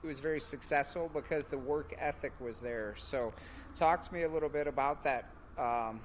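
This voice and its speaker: A man hosting a podcast interview, relaxed and unhurried with fillers. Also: medium pitch, slight background noise. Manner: relaxed, with drawn-out phrases and fillers. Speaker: podcast host. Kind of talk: casual interview chat.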